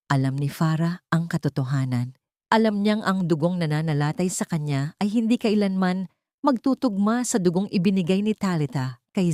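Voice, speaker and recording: Low-pitched Filipino woman narrating drama. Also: mellow, dry recording, studio quality. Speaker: audiobook narrator. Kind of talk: dramatic scripted story narration.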